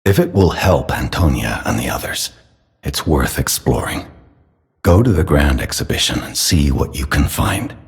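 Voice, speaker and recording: An actor playing a doting, sorrowful elderly inventor father in a video game, speaking solemnly with a husky, breathy voice. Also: extremely low pitch, expressive, heavily acted. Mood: measured. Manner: slow, measured, solemn. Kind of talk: dramatic game character dialogue. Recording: long reverberant hall echo.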